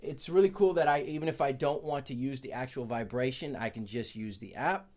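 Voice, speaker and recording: A drummer talks through a product review in a relaxed, chatty way, correcting himself and tossing in jokes. His voice sits at an ordinary middle pitch for a man. He is recording in his office. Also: fairly quick. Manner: chatty, self-correcting, joking asides. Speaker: percussionist reviewing a metronome.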